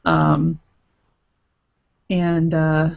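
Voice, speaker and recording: A woman with a low-pitched voice and plenty of vocal fry talks through her craft as an interview guest. Her delivery is casual and halting, often stopping and restarting. The audio is slightly dull and lo-fi. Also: monotone. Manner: hesitant, stop-and-start phrasing. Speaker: guest, visual novel creator. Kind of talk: casual explanatory interview talk.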